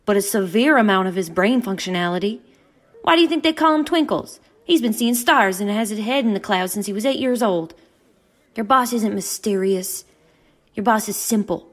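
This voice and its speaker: Woman doing a world-weary saloon gal, slow and knowing, voice a bit high. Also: lightly acted. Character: worldly Old West saloon woman, scornful. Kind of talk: hobby audio play acting.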